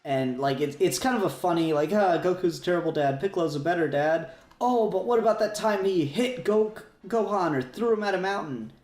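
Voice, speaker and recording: A man's voice: high voice, expressive, brisk, hardly any pauses, darkish tone. A man chatting casually as a podcast co-host in a fan discussion, rambling with emphatic enthusiasm. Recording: roomy echo, music behind.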